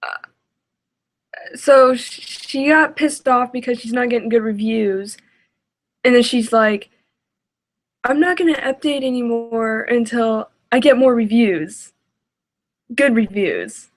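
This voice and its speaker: A woman's voice: fairly high pitch, takes it slowly, pauses often. A woman giving casual, sarcastic, exasperated reaction commentary.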